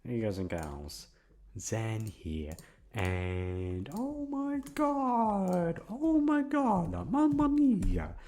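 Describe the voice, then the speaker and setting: A man chats casually to his audio listeners as a performer signing off. He is awkward and goofy, rambles and swears, and his pitch swings wildly into silly high tones. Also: huge pitch swings, extremely slow, darkish tone.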